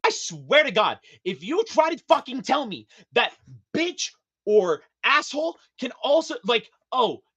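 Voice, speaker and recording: A man with a high-pitched, slightly feminine voice, ranting hard on a casual podcast, emphatic and profane. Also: very animated, a little slow, sharp tone, slightly rough. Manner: emphatic, profane, preachy rant with sudden high-pitched outbursts. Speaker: podcast guest.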